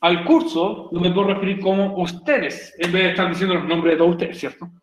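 A man's voice: sits high, fairly even intonation, fairly quick, roomy sound. A man from Chile teaching an English class online in Chilean Spanish, chatty and jokey, often checking in with his students. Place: home room on a video call.

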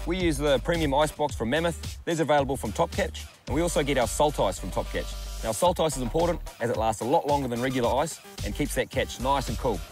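A man's voice: mid-range voice, quick pace. A man with a New Zealand accent hosting a fishing show, chatting casually and explaining his rig outdoors by the sea. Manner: excitable, laughing, explains rigs as he goes. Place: outdoors on a boat and on rocks by the sea. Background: music.

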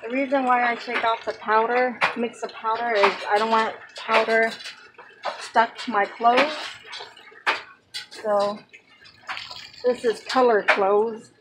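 A young woman films herself on a phone in a cramped home laundry room and explains each step of her wash in an unhurried, step-by-step way. Her voice sits close to the mic. Loud running water from the machine fills the background. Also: fairly high pitch, steady tone, heavy background noise. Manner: slow, step-by-step explaining while working. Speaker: vlogger. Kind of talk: casual how-to narration. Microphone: handheld phone camera, close. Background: loud running water from the washing machine.

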